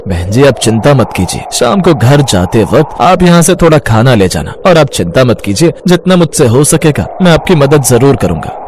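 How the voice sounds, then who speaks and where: A man's voice: medium pitch, sing-song, normal speed, darkish tone, a little hiss or hum, close to an everyday voice. A man playing a kind, reassuring owner of a village eatery.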